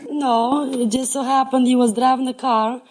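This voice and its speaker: A woman's voice: sits high, fairly even intonation, normal speed, rough recording. A woman contestant telling stories in banter on a 1950s radio quiz show. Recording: vintage 1950s radio broadcast.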